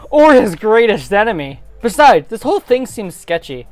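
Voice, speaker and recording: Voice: mid-range voice, sing-song, moderate pace, a little mellow, no echo, faint music behind, pitched above the performer's own voice, close to an everyday voice. A performer voicing a brash, skeptical young farm boy hero in a fantasy game story, blunt and full of questions.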